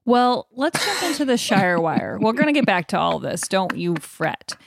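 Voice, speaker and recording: A woman's voice: medium pitch, sing-song, normal speed, vocal fry, dry recording. Woman co-hosting a casual podcast, dry sound. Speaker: podcast co-host.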